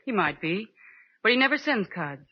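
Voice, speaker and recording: A dry, wisecracking American secretary acted by a woman, world-weary and deadpan, in a middle-pitched voice. She is in a 1940s radio noir play, heard on a noisy old recording. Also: moderate pace, lo-fi sound, natural voice. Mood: cool, dismissive. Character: wry, world-weary female law office secretary. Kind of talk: 1940s radio detective drama acting.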